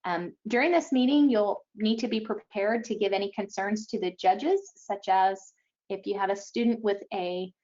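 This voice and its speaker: A woman with a medium-pitched voice explains event procedures as an organizer on a webinar. She is a little hesitant and corrects herself along the way. The audio is dull and lo-fi. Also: normal speed, darkish tone. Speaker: event organizer presenting rules. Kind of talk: informational webinar briefing.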